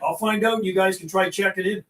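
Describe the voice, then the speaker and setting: Middle aged guy chatting on cb radio, high voice, folksy, says stuff twice, echoey and kinda far from the mic. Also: steady tone, quick pace, bright, forceful tone, a little hiss or hum. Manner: chatty, folksy, repeats himself. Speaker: CB radio operator and livestream host. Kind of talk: casual CB radio chat on a livestream.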